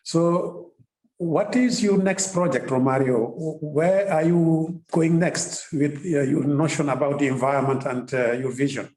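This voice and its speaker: A man with a fairly high, mellow voice speaks earnestly and encouragingly in conversation. His phrasing is simple and he circles back to repeat it. The sound is roomy and echoing. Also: unhurried. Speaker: official in conversation with a young activist. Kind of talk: encouraging interview-style conversation.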